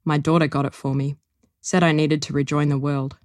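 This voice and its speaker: A woman reading aloud as a gruff, smug older man who grumbles in a dry, stony way. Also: sits low, level tone, fairly quick, darkish tone, dry recording, lightly acted. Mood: grumbling. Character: gruff old bookish man, blunt and smug.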